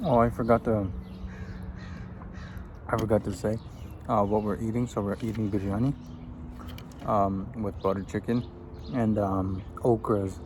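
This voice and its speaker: 20-something guy from afghanistan, low even voice, easygoing rambling chat, noisy outside with birds. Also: measured pace, pauses often. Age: 20s. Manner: easygoing, answers questions in a loose, rambling way. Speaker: vlogger. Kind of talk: casual get-to-know-me chat while eating. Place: outdoors. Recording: outdoor recording with background noise and birds.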